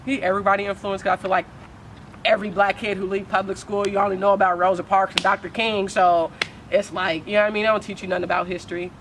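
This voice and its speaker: A young woman from Oakland with a deep voice, speaking candidly as a poet guest in a casual interview, full of slang and swearing. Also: little pitch movement, fast talker, background noise. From Oakland, California.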